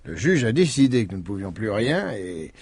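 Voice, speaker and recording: An actor playing a shrewd middle-aged police commissioner in a French radio mystery, husky, with a mock-friendly, insinuating manner. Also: male voice, fairly low pitch, sing-song, normal speed, darkish tone, no room sound, heavily acted. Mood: needling.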